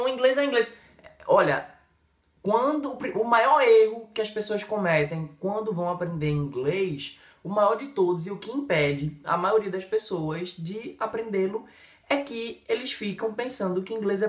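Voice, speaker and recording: A Brazilian man in his thirties with a Brazilian Portuguese accent, an English teacher giving an emphatic, energetic lesson straight to the camera. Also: high-pitched, roomy sound. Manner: lively, emphatic, talks straight to the viewer with many gestures. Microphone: camera in front, head and shoulders framing.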